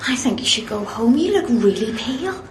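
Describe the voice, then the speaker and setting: An Irish actress playing a concerned, insistent newspaper colleague in a radio drama. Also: female voice, fairly high pitch, sing-song, brisk, slight background noise. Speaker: actor in a radio play. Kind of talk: naturalistic radio drama acting.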